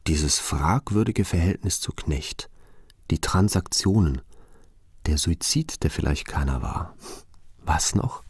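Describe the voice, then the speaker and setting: A man's voice: deep voice, animated, slow, husky, no echo, studio quality, heavily acted. An actor playing a grave, concerned male investigator in a radio drama, talking in terse, clipped phrases.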